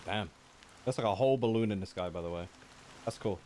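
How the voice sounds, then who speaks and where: A man's voice: fairly low pitch, a little fast, noisy background. A man doing casual live reaction commentary on a game, informal and slangy, thinking aloud.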